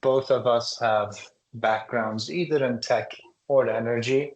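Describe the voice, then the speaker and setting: A man, a startup founder, giving polished, pitch-like answers in an interview. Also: steady tone, a little slow, poor audio. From Turkey. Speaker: startup CEO guest.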